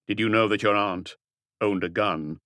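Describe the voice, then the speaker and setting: Casting: male reader as composed male detective in crime audiobook, lowish voice, persistent terse questioning, dry intimate sound. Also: moderate pace, fairly bright tone, no room sound, natural voice. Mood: insistent. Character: composed, probing male French police detective. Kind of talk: audiobook character dialogue.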